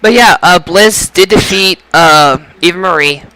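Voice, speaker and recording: A man's voice: sits high, measured pace, pushed and bright, no room sound, rough recording. A man hosting a casual podcast about wrestling results, speaking emphatically and often repeating and stumbling over phrases. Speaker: podcast host.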